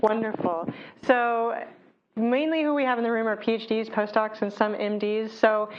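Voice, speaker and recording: A woman's voice: a little high, fairly even intonation, normal speed, lo-fi sound. A woman moderating a career panel in an encouraging way.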